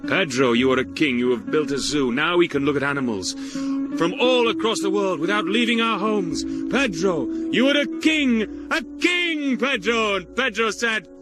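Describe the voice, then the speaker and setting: An actor playing a pompous, self-important older zookeeper, grandiose and dramatic in a surreal comedy. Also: male voice, high voice, loud music behind the voice, lightly acted. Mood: impassioned, grandiose. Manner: grandiose, drawn-out dramatic storytelling. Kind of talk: deadpan surreal sitcom dialogue.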